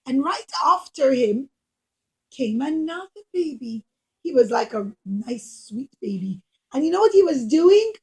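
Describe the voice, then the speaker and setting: A woman telling a story to children as a puppeteer, in simple, expressive, encouraging teacher talk. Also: sits high, measured pace, rough recording.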